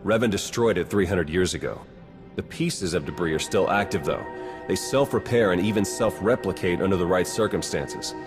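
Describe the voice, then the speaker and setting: Wry, low-voiced spy. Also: male voice, moderate pace, music in the background. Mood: determined briefing. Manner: casual, dry, matter-of-fact. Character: wry, confident male Republic spy, practical and brisk. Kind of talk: video game voice acting.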